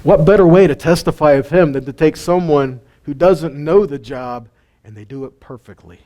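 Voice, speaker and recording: A man's voice: fairly high pitch, moderate pace, warm tone, dull audio. A middle-aged man teaches scripture in a folksy way, asking his listeners questions and chuckling often. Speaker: Bible teacher. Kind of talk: casual Bible teaching.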